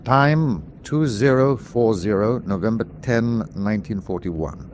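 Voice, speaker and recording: An actor playing a prickly, ruthless German submarine captain, clipped, commanding and sardonic, in an old-time radio war drama style. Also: male voice, lowish voice, darkish tone, slight background noise. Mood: grave, formal.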